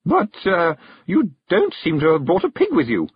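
Storybook hostess witch, played by a man: high, swooping, gushing and posh, brightly strained and flustered. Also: huge pitch swings, normal speed, slightly rough, dry recording, muffled recording, full character voice. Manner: trilling, gushing, strained cheerfulness.

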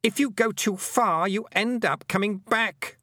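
A man's voice: high-pitched, a little slow, bright, forceful tone, no echo, clean studio sound, voice pushed up, exaggerated voice. A man voicing a bewildered young boy in a storybook, sounding confused and urgent. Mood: dazed mumbling. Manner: urgent, confused, mumbling or calling out.

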